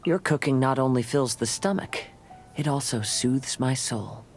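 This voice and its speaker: A male actor voicing a calm, refined young wandering samurai poet in a video game, speaking in measured, formal, poetic phrases. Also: mid-range voice, faint music behind, lightly acted. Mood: calm, reflective. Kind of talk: video game character voice lines.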